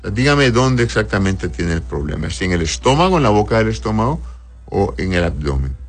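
A man's voice: on the low side, big pitch swings, a little fast, vocal fry, husky, faint music behind. A male doctor answering listeners' health questions in a patient, explanatory way, often checking "right?" and calling patients "my love".

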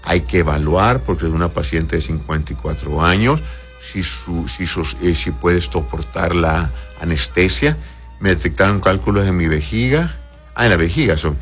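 A man with a low, deep voice speaks over background music as a doctor who hosts an advice show. He is conversational and avuncular, addressing listeners with endearments and often checking that they follow. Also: expressive, normal speed, fairly bright tone. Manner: avuncular, uses endearments and frequent checking tags. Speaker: doctor hosting a call-in advice show, answering listener messages. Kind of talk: conversational medical advice, reading messages aloud.

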